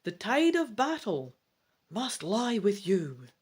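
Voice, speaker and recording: A woman puts on a commanding fantasy sorceress for a storybook adventure. She is grave and earnest, pressing her point urgently with lively, wide swings in pitch. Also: takes it slowly, breathy edge, full character voice.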